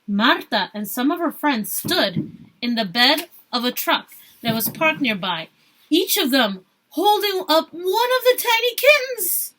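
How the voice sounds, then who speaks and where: A woman teacher reading a story aloud expressively, pausing to put questions and asides to her young listeners. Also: high voice, very animated, bright, forceful tone, poor audio.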